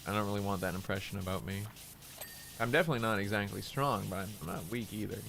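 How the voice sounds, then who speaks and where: A young man streaming who chats casually about himself, hesitant and rambling with many fillers, deliberately lowering his voice. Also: vocal fry, fairly bright tone, slight background noise. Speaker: VTuber streamer. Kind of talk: casual stream chat while gaming.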